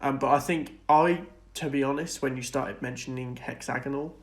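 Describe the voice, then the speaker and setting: Even-toned man in a casual podcast chat. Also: mid-range voice, normal speed. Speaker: podcast co-host. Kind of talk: casual film and TV discussion.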